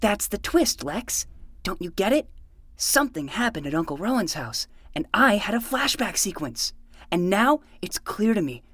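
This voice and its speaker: A woman's voice: medium pitch, very animated, moderate pace, a bit bright, slightly rough, no echo. A woman voicing an earnest, melodramatic twelve-year-old boy who plays detective in a scripted fiction podcast. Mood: urgent.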